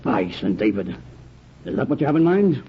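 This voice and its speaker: An actor playing a bold, confident buccaneer captain in an old radio drama, with hearty archaic seafaring phrasing. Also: male voice, medium pitch, very animated, moderate pace, natural voice. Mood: sly. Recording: dull, noisy old radio recording.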